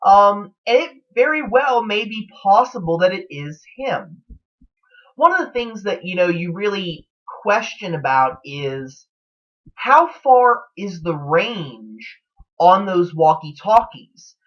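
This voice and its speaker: A man giving casual, unscripted fan commentary, thinking aloud in a hesitant, halting way. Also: sits high, lively intonation. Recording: dull lo-fi sound.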